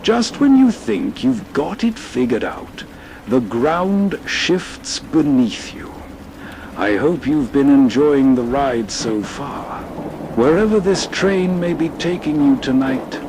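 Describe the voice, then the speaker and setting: Slow-paced male noir host. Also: medium pitch, expressive, a little mellow, a little hiss or hum, rough recording. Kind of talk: scripted atmospheric noir narration.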